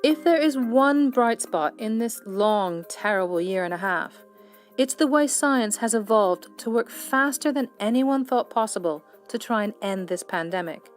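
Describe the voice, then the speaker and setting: A woman reporter reading a scripted news feature in a clear, even delivery. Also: on the high side, a little slow, soft background music.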